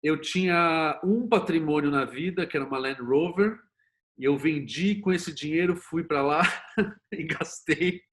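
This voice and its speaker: A man's voice: on the high side, a little slow. Middle-aged Brazilian man in conversation. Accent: Brazilian Portuguese. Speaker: interview guest, screenwriter and producer. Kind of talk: conversational interview, telling own career story.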